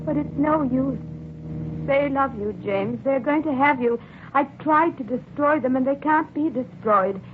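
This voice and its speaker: An actress playing a despairing, fearful and jealous wife in an old-time radio horror drama, with a melodramatic, fraught delivery. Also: female voice, sits high, measured pace. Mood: resigned, sorrowful. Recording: tinny, noisy vintage radio recording.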